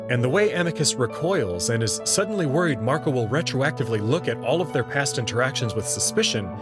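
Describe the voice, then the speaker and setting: Expressive man narrating over music. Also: medium pitch. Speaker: narrator and host of a visual novel reading. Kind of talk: scripted narration and commentary.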